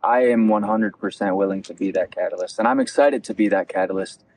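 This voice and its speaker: A man in his twenties hosting a campaign interview outdoors, speaking earnestly and haltingly and often repeating his phrases. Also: fairly low pitch, a little mellow. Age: 20s. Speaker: interviewer, local election candidate. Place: outdoors at a beach picnic table.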